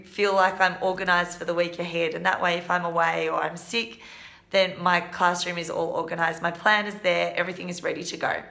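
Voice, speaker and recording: A woman in her twenties, a teacher, hoarse today, giving earnest, practical advice in a casual vlog. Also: on the low side, pushed and bright. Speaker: primary school teacher and vlog host.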